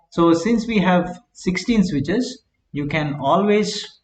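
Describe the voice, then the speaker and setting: A man lectures in a slow, broken-up way, pausing between short word groups. His voice sits a little high and rings in an echoey room with a faint hum behind it. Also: darkish tone. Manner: slow, halting delivery in short word groups, frequent "right?" checks. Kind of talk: classroom lecture on circuit design.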